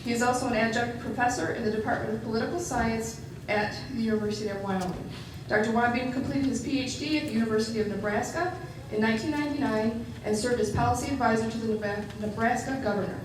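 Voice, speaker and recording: A woman's voice: a little high, fast talker. A woman formally reading out a speaker's introduction at an event. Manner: formal, reads from script. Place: large hall. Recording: echoey hall, distant mic, background noise.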